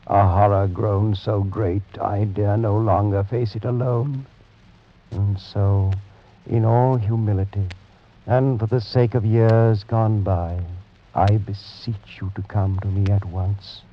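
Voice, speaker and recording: An actor playing a sick, desperate nobleman pleading with an old friend by letter, in 1940s radio drama style. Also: fairly low pitch. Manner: pleading, grave, slow reading of a letter. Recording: muffled old radio broadcast.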